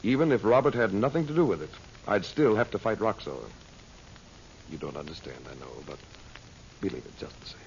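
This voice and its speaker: An actor playing a grave, protective hero, earnest and measured, in old-time radio drama. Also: male voice, on the low side, animated, vocal fry. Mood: grave, determined. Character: resolute, grave heroic man, protective of his family. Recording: muffled old radio recording, faint noise.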